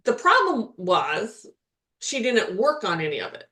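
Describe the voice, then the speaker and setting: A woman's voice: medium pitch, very animated, slow, sharp tone. A middle-aged woman co-hosting a podcast, talking in a chatty, rambling way with frequent restarts and laughs. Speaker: podcast co-host, spiritual healer. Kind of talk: casual chat.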